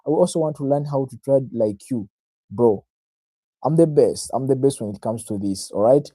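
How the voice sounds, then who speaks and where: Ugandan man, Luganda native, very mellow dark tone, mid pitch, dry sound; confident, informal trading-stream explainer who repeats himself. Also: normal speed, slightly rough, no room sound. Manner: self-assured, addresses viewers directly, repeats phrases, checks in with "right?". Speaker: trader, livestream host. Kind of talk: informal live market analysis, explaining to viewers.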